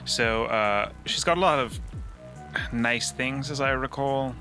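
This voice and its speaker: A man's voice: mid-range voice, takes it slowly, bright, forceful tone, pitched above the performer's own voice. A man voicing a self-important, breezy fellow in a tabletop game, awkward and evasive. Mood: fussy, evasive. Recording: music behind the voice.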